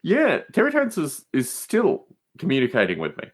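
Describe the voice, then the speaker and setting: A man's voice: a little high, wildly swooping pitch, normal speed, breathy edge. A man chatting casually as a podcast guest, with an emphatic way of talking. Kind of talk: casual conversation.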